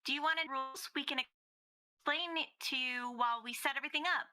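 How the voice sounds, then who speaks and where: A woman voicing a cheerful, pushy high-school girl in a playful visual novel read-through. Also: fairly quick, bright, forceful tone. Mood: bubbly, coaxing. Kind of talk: amateur voice acting of visual novel dialogue. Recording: music behind the voice. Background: music.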